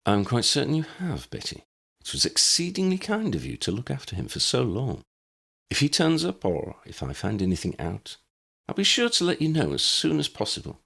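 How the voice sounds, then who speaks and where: A man voicing a calm, courteous old man in an audiobook, speaking in a measured, formal and unruffled way. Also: mid-range voice, vocal fry, slightly rough, pitched above the performer's own voice, heavily acted. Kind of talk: audiobook character voice.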